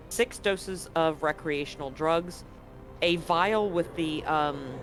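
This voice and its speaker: A man narrating as game master, describing scenes in a halting way with frequent ums and restarts. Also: fairly high pitch, fairly bright tone.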